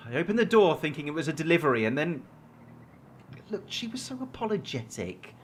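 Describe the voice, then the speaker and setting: A man's voice: a little high, very animated, a little fast, a little hiss or hum. An actor playing an anxious, defensive man in a naturalistic audio drama, hesitant and pleading. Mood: flustered, pleading. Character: anxious, defensive man clinging to an abusive girlfriend.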